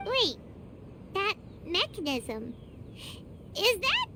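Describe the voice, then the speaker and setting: A woman voicing a small, childlike, excitable fairy companion in a video game, with a squeaky voice and eager exclamations. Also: drawn-out words, background noise, exaggerated voice. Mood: exasperated. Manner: refers to self in third person, exclaims eagerly. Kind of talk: animated video game voice acting.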